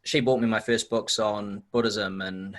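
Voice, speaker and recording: A man's voice: lowish voice, fairly even intonation, a little slow, fairly bright tone. A man in his forties with a New Zealand accent, chatting in an easygoing, anecdotal way as an interview guest. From Auckland, New Zealand. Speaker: podcast guest, nutrition researcher. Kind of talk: casual chat, anecdotal.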